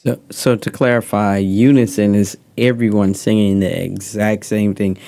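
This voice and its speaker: Low gravelly man teaching casually. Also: moderate pace. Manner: relaxed, rambling with fillers. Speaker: music mentor.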